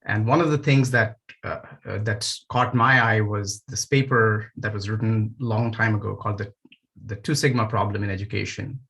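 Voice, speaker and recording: A man from Nepal in his forties, a panelist and education founder, speaking on a video call in a measured, thoughtful way with frequent fillers. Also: fairly low pitch, steady tone, a bit bright. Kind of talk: online panel remarks.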